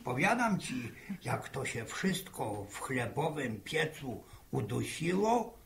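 Creaky, folksy old village grandpa. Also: male voice, medium pitch, sing-song, a bit bright, slight background noise, heavily acted. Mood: relishing. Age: older. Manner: folksy, halting, repeats himself. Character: jovial old village grandfather, full of sayings. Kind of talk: 1960s Polish radio drama acting.

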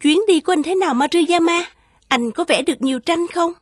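A woman voicing a gentle young woman in love in an animated folktale, deferential and yearning. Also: very high voice, fairly quick, no room sound, faint music behind. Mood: tender.

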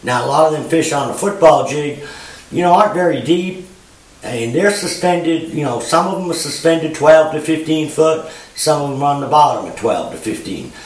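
A man's voice: on the high side, expressive, moderate pace. A man in his fifties with a Missouri Ozarks accent, giving a casual, rambling fishing report full of "you know" and "uh". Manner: plain-spoken, rambling, frequent "you know" and "uh". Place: garage with a bass boat, indoors. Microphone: far camera. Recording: roomy garage echo, distant mic.

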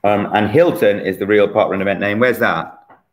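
A man in his forties hosts a relaxed online quiz, ribbing the people he names and laughing at himself. His voice sits fairly low. It is picked up by a laptop webcam in a bright room at home. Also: moderate pace, slight background noise. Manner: chatty, teasing, self-mocking. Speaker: quiz host. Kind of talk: casual quiz hosting.

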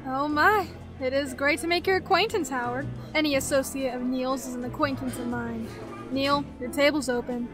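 Woman playing a high-voiced flirty speakeasy hostess. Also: steady tone, moderate pace, over music, lightly acted. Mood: flirty, welcoming. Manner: gracious, inviting. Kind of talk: audio drama acting.

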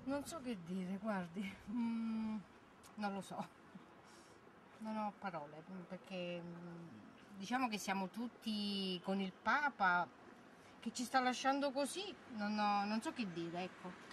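A woman's voice: mid-range voice, takes it slowly. A middle-aged woman, answering a street interview hesitantly and searching for words. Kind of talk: street vox pop. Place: city street outdoors. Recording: street noise outdoors.

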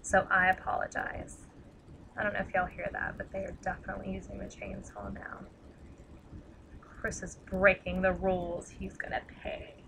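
A woman with a tired, slightly raspy voice chatting casually through a craft tutorial, rambling and self-deprecating as she works. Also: mid-range voice, little pitch movement, normal speed, fairly bright tone, a little hiss or hum, low-quality recording. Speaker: craft demonstrator.

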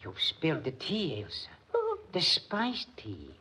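Deranged German lover, wildly swooping voice. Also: male voice, medium pitch, huge pitch swings, slow, sharp tone, breathy edge, full character voice. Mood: menacing. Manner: theatrical, sinisterly tender, chuckling madly. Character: deranged, lovesick German musician, softly menacing murderer. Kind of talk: 1940s radio drama acting. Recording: muffled 1940s radio recording, faint noise.

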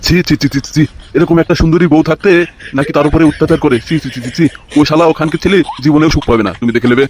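A man's voice: on the high side, fairly even intonation, racing, a little hiss or hum, lightly acted. A man voicing a bossy, flirtatious local politician in a comic cartoon, often repeating his words in a gushing way. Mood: scolding, gushing. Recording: loud music behind the voice.

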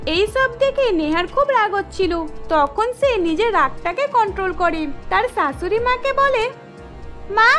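A woman narrates a cartoon story from a script. Her voice is extremely high, almost in a child's range. Faint music plays beneath the narration. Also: animated, normal speed, a bit bright.

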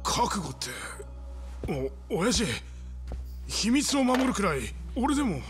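An actor playing a nervous, loyal young gangster underling in a game drama, hesitant and stammering. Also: male voice, extremely high pitch, big pitch swings, unhurried, a bit bright, faint music behind, heavily acted. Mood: nervous, unsure. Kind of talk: cinematic game drama acting.

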